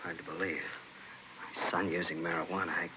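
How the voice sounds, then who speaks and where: Male actor as dismayed middle-aged dad, deep voice, halting delivery, muffled vintage radio sound. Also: level tone, lightly acted. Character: dismayed middle-aged father of a teenage boy. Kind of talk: 1950s radio drama acting. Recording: muffled vintage radio recording, faint noise.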